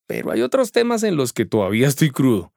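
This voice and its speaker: A man's voice: medium pitch, wildly swooping pitch, quick pace, gravelly, a little mellow, no room sound, studio quality. A man with a Colombian accent playing a curious, folksy neighbor who asks about migration rights in slangy everyday speech. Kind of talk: scripted radio drama dialogue.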